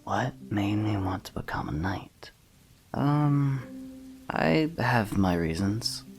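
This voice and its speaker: A man playing a calm, laid-back young knight in a fantasy audio drama, reserved and quietly confident. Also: lowish voice, takes it slowly, faint music behind. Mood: guarded. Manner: reserved, easygoing, brief replies.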